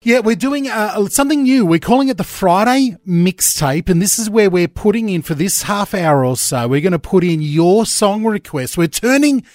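Chatty radio host, dry studio sound. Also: male voice, high voice, animated, moderate pace, hardly any pauses, a little mellow, no echo. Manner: chatty, upbeat, many fillers. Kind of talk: casual radio banter. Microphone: studio microphone.

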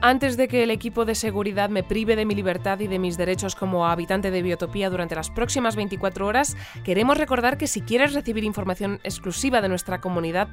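An actress plays a female presenter of a radio news bulletin in a sci-fi audio drama. She is chatty and speaks in scripted announcements, at a medium pitch, with music behind her. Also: lightly acted.

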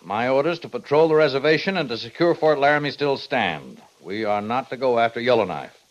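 An actor playing a stern, by-the-book army major in a 1950s radio western, giving orders formally and with authority. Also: male voice, medium pitch, expressive, fairly quick, close to an everyday voice. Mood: stern, official. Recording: muffled old radio recording.

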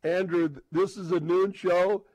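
A man hosting a sports talk radio show in an effusive, halting, unscripted way, repeating phrases for emphasis. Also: high-pitched, steady tone, a little mellow, no room sound, rough recording.

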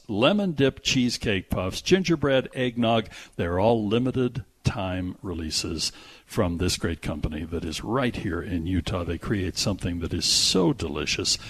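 A man's voice: mid-range voice, breathy edge. An American man hosting a radio movie show, chatting casually and enthusiastically, with some rambling and live ad reads.

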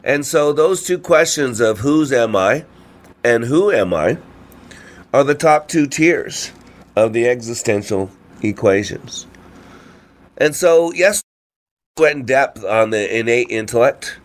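Congested guy radio host, medium pitched voice, informal motivational talk, keeps asking the listener questions. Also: animated, slow. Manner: asks rhetorical questions, frequent "you know" and "right?". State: congested with a cold or allergies. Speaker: radio show host and trainer.

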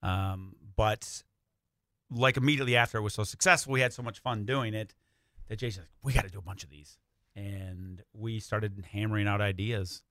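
A man's voice: on the low side, steady tone, moderate pace, sharp tone, no echo. A man speaking casually and informally as a radio interview guest, telling stories in a studio. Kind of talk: casual conversational storytelling. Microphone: close studio microphone.

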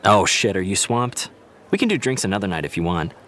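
An actor playing an eager, friendly young TV star, animated and earnest, in a naturalistic audio drama. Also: male voice, deep voice, fairly quick. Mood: upbeat, earnest. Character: eager, friendly male actor starring in vampire show.

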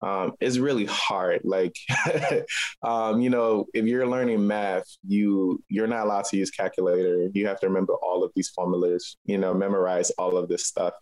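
A man's voice: low-pitched, a little mellow, low-quality recording. A man from Liberia, a local artist, answering interview questions in a conversational way. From Liberia, West Africa.

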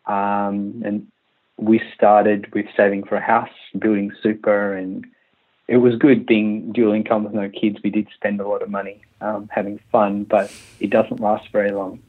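Australian man, thirties, lowish voice, tinny phone line, halting with fillers. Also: flat delivery. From Malaysia, raised in Western Australia. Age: 30s. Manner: hesitant, many restarts and fillers, laughs easily. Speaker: country doctor, interview guest. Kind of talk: casual interview answers.

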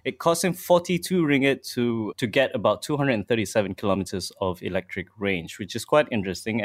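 A man presenting the car news on a radio show, relaxed and chatty with many fillers. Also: on the low side. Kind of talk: casual radio chat.

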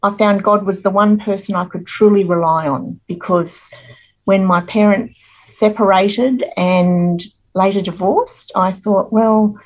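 Australian woman, middle-aged, guest interviewee; earnest, measured telling; muffled, lo-fi sound. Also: mid-range voice, steady tone. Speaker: guest author. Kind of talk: conversational interview storytelling.

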